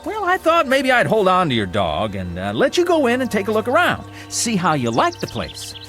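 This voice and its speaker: An actor playing a folksy, coaxing gatekeeper of the afterlife in a vintage TV drama, with a rural Southern American accent. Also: male voice, high voice, wildly swooping pitch, music in the background. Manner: folksy, coaxing, drawn-out phrasing. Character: folksy, wheedling male gatekeeper of a false heaven. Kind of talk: vintage television drama acting.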